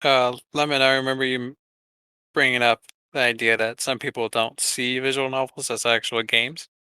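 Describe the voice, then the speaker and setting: A man's voice: mid-range voice, level tone, normal speed, sharp tone, smooth, no room sound. A man co-hosting a podcast, chatting in an informal, rambling and jokey way. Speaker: podcast co-host. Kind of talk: casual podcast chat.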